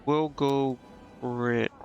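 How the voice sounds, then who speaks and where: Male streamer, gravelly fry, mid pitch, offhand muttered play-by-play of his own choices, music underneath. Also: steady tone, extremely slow, dull audio. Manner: muttering indecisively to himself. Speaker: video game streamer. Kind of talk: casual live game commentary, thinking aloud.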